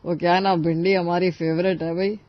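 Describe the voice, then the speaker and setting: A man's voice: high-pitched, flat delivery, a little fast, no room sound, close to an everyday voice. A man playing a jovial old father-in-law. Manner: hearty, teasing. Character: older father-in-law, jovial and fond of food. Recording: dry, slightly muffled studio voice.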